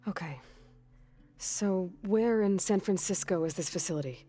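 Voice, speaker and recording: An actress voices a young woman warrior in a video game, practical and quietly resolute. Her voice sits at an average pitch, her lines are plain and probing, and soft music plays behind her. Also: normal speed, lightly acted. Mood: calm, inquiring. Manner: plain-spoken, brief, probing. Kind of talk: video game cutscene dialogue.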